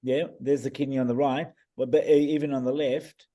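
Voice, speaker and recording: Croaky-voiced man, warm and chatty. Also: mid-range voice, level tone, fairly quick. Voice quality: hesitant, many restarts and fillers. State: croaky voice, clearing throat. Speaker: iridologist guest reading eyes. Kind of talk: casual video-call discussion.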